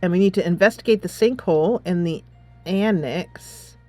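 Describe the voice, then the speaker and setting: A woman hosting a casual let's play, chatting in an animated, giggly manner into a close mic. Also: lowish voice, moderate pace, no room sound, faint music behind. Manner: animated, giggly, reads dialogue aloud. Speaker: gaming channel host.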